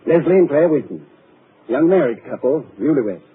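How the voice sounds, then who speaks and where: An actor playing a tired, patient asylum doctor who speaks calmly and soothingly in a 1940s radio drama. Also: male voice, a little high, normal speed, breathy edge. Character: weary middle-aged male asylum doctor, patient and firm. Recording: muffled lo-fi 1940s radio broadcast with hiss.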